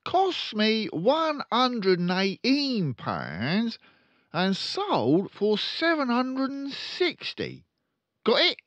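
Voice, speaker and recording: A man acts out a storybook father who is a boastful, crooked car dealer. He is loud, bossy and emphatic, with a raised pitch and lively swoops. The sound is a little dull. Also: very slow, no echo, exaggerated voice. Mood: dictating. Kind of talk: children's storybook character voice.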